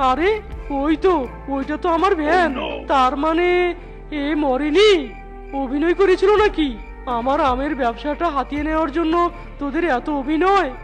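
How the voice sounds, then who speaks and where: A woman's voice: high voice, natural voice. A woman voicing a flirty, fretful male animal mango-seller in a cartoon, with singsong, exclamatory delivery. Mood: indignant. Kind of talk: cartoon voice acting. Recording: light cartoon music behind voice.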